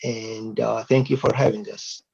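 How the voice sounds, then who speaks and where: A man from Iraq speaks haltingly on a panel, pausing often with fillers. His voice is deeply gravelly and husky. The recording sounds dull and lo-fi. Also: level tone, a little mellow. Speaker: medical student. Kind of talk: panel self-introduction. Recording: dull lo-fi audio.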